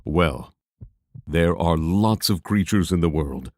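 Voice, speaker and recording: A man acting as a young male adventurer in a fantasy audio drama. He has a deep voice and a serious, careful manner, recorded close and dry. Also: takes it slowly, darkish tone, no room sound. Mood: cautious, thoughtful.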